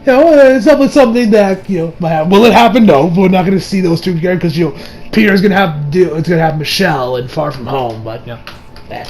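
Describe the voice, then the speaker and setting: High-voiced, excitable young man. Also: quick pace. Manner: excitable, gushing, swearing, often laughing. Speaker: movie reviewer. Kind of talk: casual unscripted fan review.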